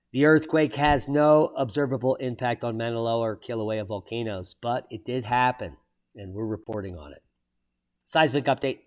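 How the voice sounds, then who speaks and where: A man hosting his own channel, reading weather and earthquake headlines aloud and breaking off for sardonic asides and sudden exclamations. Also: medium pitch. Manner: exclaims loudly at surprising items. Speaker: channel host reading weather and geology news. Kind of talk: solo commentary reading headlines.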